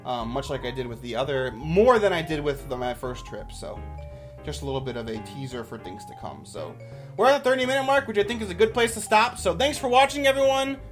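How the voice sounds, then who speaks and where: A man's voice: on the high side, sing-song, fairly quick, faint music behind. A man giving casual, off-the-cuff commentary as a YouTuber, with an enthusiastic, rambling manner.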